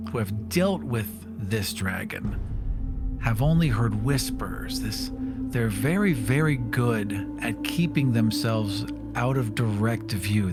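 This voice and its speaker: Male tabletop player, casual explainer, mid pitch, clear music bed behind. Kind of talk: tabletop game narration and chat.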